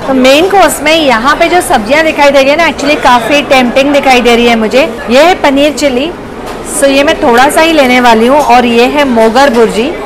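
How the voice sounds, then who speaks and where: A woman's voice: high-pitched, moderate pace. A woman in her thirties giving a casual food review vlog on a lapel mic in a restaurant dining hall, chatting and mixing in English phrases. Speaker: food vlogger. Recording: restaurant chatter and music behind.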